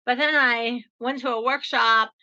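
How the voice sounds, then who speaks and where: Bubbly woman in her sixties, bright tone. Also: a little high, fairly even intonation, unhurried, dull audio. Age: 60s. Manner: upbeat, chatty, laughs easily. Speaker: podcast host. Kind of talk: casual interview chat.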